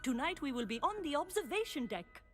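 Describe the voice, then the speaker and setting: High, animated, stern professor. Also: female voice, brisk, soft background music. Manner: formal, admonishing. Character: stern, exacting female astronomy professor. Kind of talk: video game cutscene acting.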